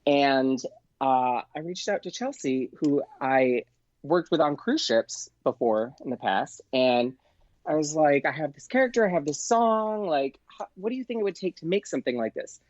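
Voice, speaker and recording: A man chatting casually as a podcast guest, telling stories in an animated way and acting out what people said. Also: fairly high pitch, moderate pace, dry recording, muffled recording. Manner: animated, acts out quoted exchanges. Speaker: podcast guest, performer and producer.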